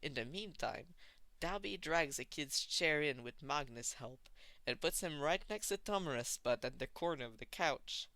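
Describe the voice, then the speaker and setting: A narrator reading fan fiction aloud in an expressive storytelling style. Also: medium pitch, normal speed, dry recording.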